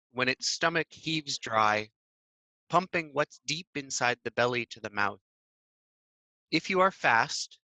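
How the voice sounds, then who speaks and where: A man's voice: medium pitch, sharp tone, no echo, poor audio. A man joining by video call as a poetry reader, with an easy, informal delivery. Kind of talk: casual greeting and poem reading.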